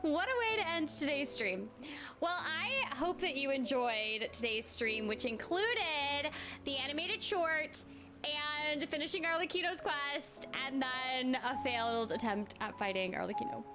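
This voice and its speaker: A woman's voice: high-pitched, expressive, measured pace. A woman chatting casually with viewers on a game stream, self-deprecating and full of fillers. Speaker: streamer and voice actor. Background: game music.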